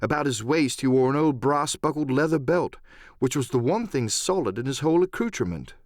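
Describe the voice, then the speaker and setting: Male storyteller for adventure audiobook: mid-pitched, highly animated, measured dramatic phrasing. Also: dry recording, studio quality. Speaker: audiobook narrator. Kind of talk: dramatic scripted narration of an adventure novel.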